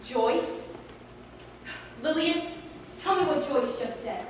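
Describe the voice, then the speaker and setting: A woman's voice: high voice, slow pace. A woman on stage playing a strict sewing teacher at a boarding school, firmly instructing and correcting her pupils. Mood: stern. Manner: firm, instructive. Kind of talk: stage play acting. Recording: echoey hall, distant mic, noisy.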